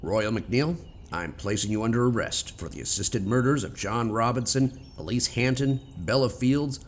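A man's voice: mid-range voice, normal speed, slight background noise, close to an everyday voice. A man playing a stern, accusing police detective in a radio theater drama.

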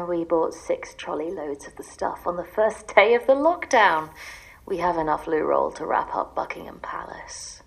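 A woman's voice: lowish voice, lively intonation, a little slow, vocal fry, low-quality recording. An actress playing a desperate, exasperated wife who is sick of her husband, in an audio drama. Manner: ranting in long bitter lists, sometimes dropping to a secretive whisper.

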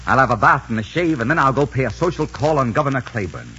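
An actor playing a suave, arrogant pirate leader in a 1940s radio drama. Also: male voice, mid-range voice, lively intonation, fast, bright, forceful tone, breathy edge, exaggerated voice. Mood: smooth, sly. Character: swaggering, cynical pirate leader posing as respectable gentleman. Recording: muffled 1940s radio recording with music.

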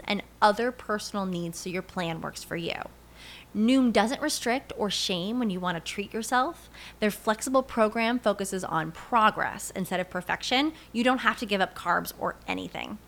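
A woman reading a scripted commercial in a smooth, upbeat way. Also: medium pitch, a bit bright. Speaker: ad reader.

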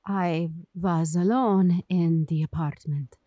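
Meek loyal wife on the stand, slow halting words, dry old radio sound. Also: female voice, mid-range voice, lively intonation, darkish tone, dry recording, highs cut off. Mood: pleading. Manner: halting, protesting denials. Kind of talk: 1940s radio acting.

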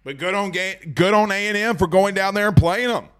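A man hosts a sports talk show, his voice hoarse and pitched a little high. He talks in excitable bursts and hammers his points home by saying them twice. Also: fairly quick. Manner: excitable, emphatic, repeats lines for effect. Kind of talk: casual sports talk show, reading viewer comments.

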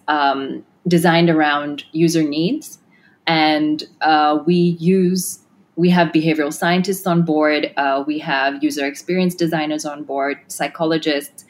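A woman's voice: deep voice, measured pace. A woman who grew up in Kenya and Australia, founder of a tech design firm, answering interview questions thoughtfully with many fillers and restarts.

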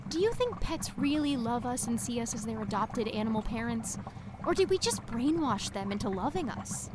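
Very high-voiced, earnest shop worker. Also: female voice, very animated, moderate pace. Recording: clear background noise.

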